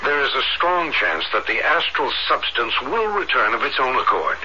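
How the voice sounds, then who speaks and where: A man playing an earnest psychiatrist in a radio drama, explaining his uncanny theories gravely and urgently. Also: mid-range voice, sing-song, normal speed, sharp tone. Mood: grave, candid. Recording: dull, noisy old radio broadcast.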